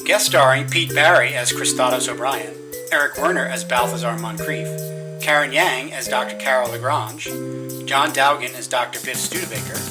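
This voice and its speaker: A man with a medium-pitched voice reads scripted credits as an announcer. Loud guitar music plays behind him throughout. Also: normal speed.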